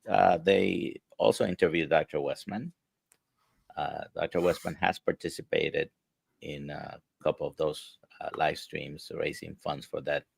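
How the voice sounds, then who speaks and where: A man with a Spanish accent, a native Spanish speaker, hosting a casual live-stream interview as a doctor, talking haltingly with many "uh" fillers. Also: rumbling low, gravelly, dry recording. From grew up speaking Spanish, now near Orlando. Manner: halting, many "uh" fillers, reads viewer questions. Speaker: host, physician.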